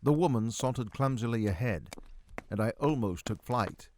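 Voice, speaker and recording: A man delivers theatrical, first-person story narration in the role of a miserly, befuddled doctor. His literary phrasing is highly expressive, with pitch that moves widely. Faint noise sits behind the voice. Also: normal speed. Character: miserly, befuddled 45-year-old male doctor recounting his own tale.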